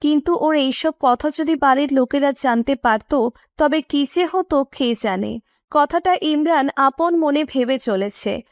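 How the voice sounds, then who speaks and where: High-pitched woman narrating a story. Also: a little slow, dry recording, studio quality.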